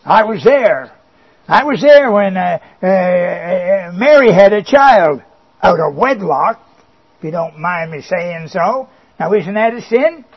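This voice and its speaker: A sly, boastful devil coaxes and mocks in a high, reedy voice, as a man acts him out. He wheedles, sneers and chuckles at his own jokes. The recording sounds dull and lo-fi. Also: animated, takes it slowly, husky, heavily acted. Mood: mocking. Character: sly boastful male devil, mocking and coaxing. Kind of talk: comic monologue performance.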